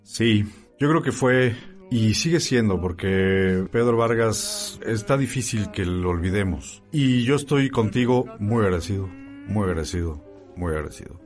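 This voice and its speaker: A man's voice: fairly low pitch, animated, normal speed, faint music behind. A man hosting a talk show in a conversational style.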